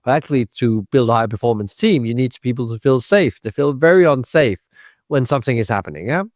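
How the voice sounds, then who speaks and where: Animated man hosting. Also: mid-range voice, fast talker, no room sound, clean studio sound. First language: Danish. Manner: animated, emphatic, checks in with tag questions. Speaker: podcast host. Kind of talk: conversational interview.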